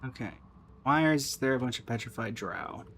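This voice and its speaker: A man's voice: mid-range voice, normal speed, bright, forceful tone, slight background noise. A man giving casual, dry, wry commentary as he streams a video game. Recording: music behind the voice. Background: video game music.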